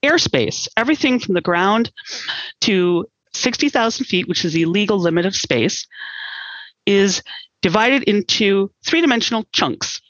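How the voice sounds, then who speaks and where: A middle-aged American woman explaining her work as an interview guest, in deliberate, measured phrases. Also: medium pitch, expressive, a bit bright, no room sound, highs cut off. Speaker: air traffic controller, interview guest.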